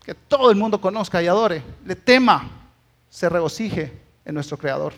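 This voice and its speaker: A male pastor with a Guatemalan accent delivers a sermon in a notably high-pitched voice. He exhorts his listeners emphatically and punctuates his points with short rhetorical questions. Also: lively intonation, moderate pace, fairly bright tone.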